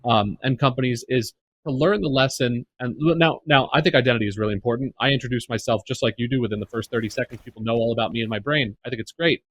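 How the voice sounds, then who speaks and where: A man's voice: medium pitch, quick pace. A man with an American accent, a podcast guest speaking earnestly about the workplace, with plenty of fillers and restarts. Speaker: podcast guest, neurodiversity advocate. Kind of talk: casual interview conversation.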